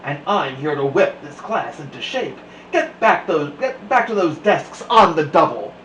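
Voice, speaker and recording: A man's voice: a little high, sing-song, measured pace, a bit bright. Man putting on a scratchy, stern witchy schoolmistress. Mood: stern, commanding. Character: stern, menacing witchy woman substitute teacher. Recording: echoey room, faint background noise.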